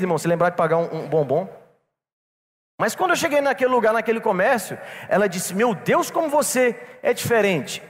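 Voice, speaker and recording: A man preaching a sermon to a church congregation, telling a personal story and calling them brothers. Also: high-pitched. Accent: non-local Brazilian. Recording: long reverb in a church hall.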